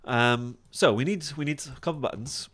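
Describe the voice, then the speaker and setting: A man teaching software in a casual screen-share tutorial, thinking aloud informally as he demonstrates each step. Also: medium pitch, moderate pace. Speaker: software instructor.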